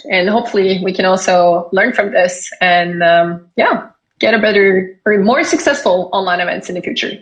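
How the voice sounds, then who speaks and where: A woman's voice: medium pitch, rough recording. A woman hosting and moderating an interview, friendly and conversational, summing up points and steering the talk. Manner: friendly, sums up and moves the talk along. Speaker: host and moderator.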